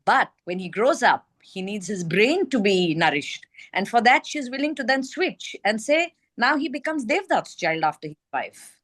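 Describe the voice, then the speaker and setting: A woman's voice: medium pitch, sing-song, normal speed, fairly bright tone, dry recording. A woman with an Indian accent sharing her opinions in an informal group discussion as one of the participants. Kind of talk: informal book club discussion.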